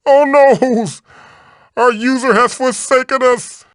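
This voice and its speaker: A man's voice: very high voice, fairly even intonation, takes it slowly, no echo, studio quality. A man voicing a magical floating tiki mask in a video game, a fatherly guide who is theatrical, encouraging and wry. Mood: panicked. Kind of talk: video game character voice lines, tutorials and quips.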